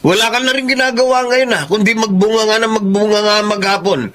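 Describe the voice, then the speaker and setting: A man's voice: sits high, a little fast, a bit bright. A man playing a grumbling, aggrieved middle-aged Filipino father in a radio drama, an idle ex-seaman who likes his drink.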